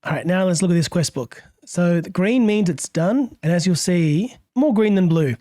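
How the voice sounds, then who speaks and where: Hoarse male let's-play host, slightly raised pitch, easygoing musing delivery with frequent chuckles, dry close sound. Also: moderate pace, no room sound. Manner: musing aloud, relaxed, chuckles often. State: hoarse, under the weather. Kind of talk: gameplay commentary.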